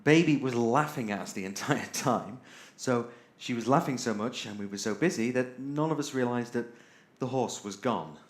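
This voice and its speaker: A man's voice: mid-range voice, moderate pace, close to an everyday voice. A man playing a cheeky, exasperated spaceship crewman in an audio drama, wry and emphatic. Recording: echoey room.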